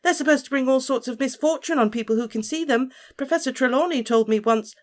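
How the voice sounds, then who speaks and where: A man voicing a frightened, superstitious teenage schoolgirl who speaks in a shrill, alarmed way. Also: fast.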